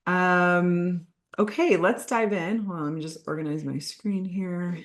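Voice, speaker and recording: Low-voiced woman coach, bit hoarse, casual and chatty on a group call. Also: measured pace. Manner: friendly, informal, loose restarts and fillers. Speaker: weight-loss coach.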